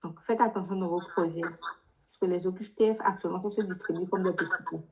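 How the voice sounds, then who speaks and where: A woman with a fairly low voice works as an adviser in a live question-and-answer workshop. She speaks spontaneously in a directive, insistent way and often puts probing questions. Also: fairly even intonation, fairly quick, darkish tone. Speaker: adviser leading a workshop for international students.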